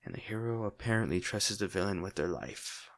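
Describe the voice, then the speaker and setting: Man acting brooding, low-voiced lovesick villain. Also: animated. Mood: wry, tender. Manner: brooding, earnest, halting dramatic pauses. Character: lovesick male villain, brooding, protective, torn over wounded hero. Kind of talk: intimate dramatic audio roleplay monologue.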